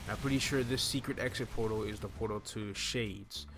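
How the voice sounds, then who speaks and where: A man's voice: on the low side, steady tone, fairly quick, background noise. A young man giving casual, informal walkthrough commentary as a gaming video host, talking through each step into a close microphone. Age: young adult. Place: indoor room at home. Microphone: desk microphone close to the mouth. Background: faint game music.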